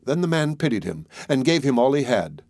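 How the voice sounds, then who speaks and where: A man's voice: medium pitch, very animated, a little fast, darkish tone, no room sound. A man reading a fairy tale as a scripted audiobook narration.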